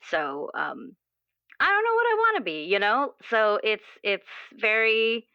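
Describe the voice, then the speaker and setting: A woman playing an earnest, questioning young character in improvised roleplay, speaking haltingly with many repeated words. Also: a little high, huge pitch swings, clear, resonant tone, no room sound, muffled recording, heavily acted. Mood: nervous, earnest. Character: earnest, questioning twenty-year-old, restless with their assigned role. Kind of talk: improvised actual-play roleplay dialogue.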